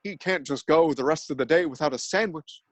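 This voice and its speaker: A man narrating an absurd story in a mock-dramatic, deadpan style. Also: a little high, fairly quick, dry recording.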